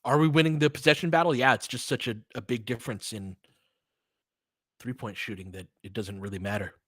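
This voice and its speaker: A man gives casual basketball play-by-play with an analyst's eye. His pitch sits in an ordinary male range, and he moves from short, clipped calls to conversational tactical explanation. The sound is dry, with very little room in it. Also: steady tone, brisk, breathy edge, dry recording. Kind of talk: casual live game commentary with tactical asides.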